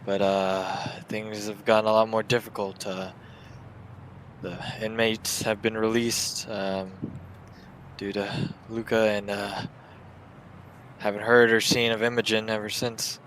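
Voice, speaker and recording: A man's voice: fairly low pitch, flat delivery, measured pace, a bit bright, slight background noise. A man playing a subdued young getaway driver from a crime family in a tabletop game, casual and hesitant.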